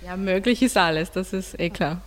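A woman's voice: on the low side, normal speed, in a large hall. A teenage girl, casually debating as a student guest on school radio.

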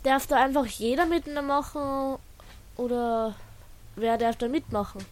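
A woman's voice: high voice, a little slow. A young girl, asking questions in an informal radio interview. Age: child, about 8 to 12.

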